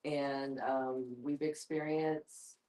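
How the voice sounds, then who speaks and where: Woman, deep pitch, conversational, personal sharing, discussion participant. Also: fairly even intonation, very slow, warm tone, poor audio. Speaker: audience participant.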